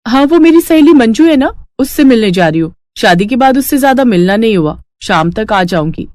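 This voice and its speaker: A woman acts out a young daughter-in-law from a cartoon family story. She is earnest, courteous and determined, with animated intonation and a close, dry sound. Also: a little high, a little fast, no echo, close to an everyday voice. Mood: cheerful. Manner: polite, earnest. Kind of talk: Hindi cartoon story acting.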